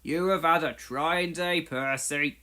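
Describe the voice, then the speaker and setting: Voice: mid-range voice, slow, pushed and bright. Narrator doing a stern formal railway boss man, storybook, very in charge. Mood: stern, approving.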